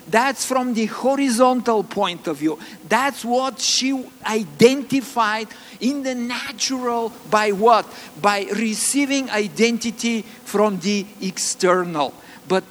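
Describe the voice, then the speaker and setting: A man with a Bulgarian accent preaching a sermon, earnest and halting, with many fillers and restarts. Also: high-pitched. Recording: long reverb, faint ambient noise.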